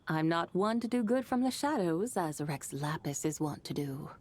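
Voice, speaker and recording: A woman's voice: fairly low pitch, a little slow, slight background noise, close to an everyday voice. A woman voicing an ancient, proud and mischievous horse spirit in a video game, with grandiose, archaic phrasing. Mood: showy, teasing. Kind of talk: video game character dialogue. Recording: game music behind the voice.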